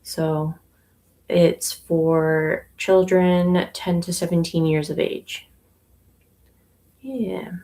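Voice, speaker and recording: A young adult woman from Canada, a yarn shop owner, chatting casually on a livestream while she knits, relaxed and unhurried, with plenty of ums and pauses. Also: on the low side. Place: inside her yarn shop.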